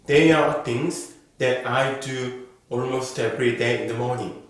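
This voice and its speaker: Slow, careful man in an echoey room. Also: mid-range voice. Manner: slow, careful, model-answer delivery. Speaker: IELTS speaking teacher. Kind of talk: reading sample exam answers as a lesson.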